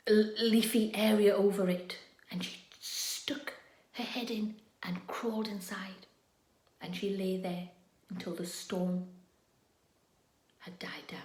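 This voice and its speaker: A woman telling a children's story expressively and dramatically, moving between hushed and louder delivery. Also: medium pitch, slow, long pauses. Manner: expressive, swinging from hushed to loud. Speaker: storyteller, primary school staff reading to children. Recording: echoey room.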